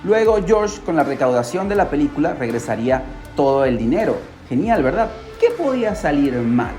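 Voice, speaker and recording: Animated man telling stories over music. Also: on the high side, moderate pace. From Peru. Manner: animated, rhetorical questions and asides to listeners. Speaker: podcast host. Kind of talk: casual storytelling podcast narration. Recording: music behind the voice.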